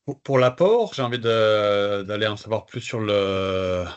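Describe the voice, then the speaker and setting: Hesitant low-voiced man on a call. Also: unhurried, smooth. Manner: hesitant, many fillers and repeated words. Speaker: workshop participant. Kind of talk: casual feedback on a video call. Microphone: video call. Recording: dull lo-fi video call audio.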